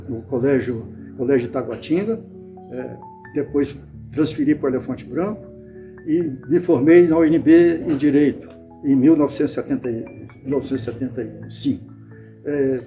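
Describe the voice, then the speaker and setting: An older man from Bahia, Brazil, recalling his past in an interview, unhurried and often restarting or repeating words. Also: medium pitch, warm tone. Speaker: interviewee, club founder. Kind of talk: reminiscing interview.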